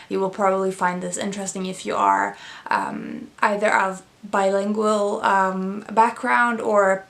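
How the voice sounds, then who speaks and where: Young woman, Swedish native, relaxed book-vlog chat, hesitant with plenty of fillers. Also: mid-range voice, creaky. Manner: thoughtful, many fillers and restarts. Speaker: book vlogger. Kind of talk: casual book review chat.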